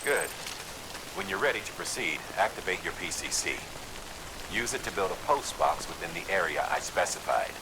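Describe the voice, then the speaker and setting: Male game role: composed supervisor, deep, polite, instructive, over heavy background noise. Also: expressive, moderate pace. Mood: calm, approving. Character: calm male supervisor guiding a porter by radio. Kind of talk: video game tutorial dialogue.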